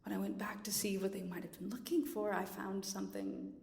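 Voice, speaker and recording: A woman playing a hesitant, secretive nurse in a radio theatre drama, speaking in a hushed manner. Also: mid-range voice, big pitch swings, a little fast, vocal fry. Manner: hushed, hesitant, often near whisper. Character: hesitant, secretive female nurse, gentle with patients. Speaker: actor in audio drama. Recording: echoey, long reverb.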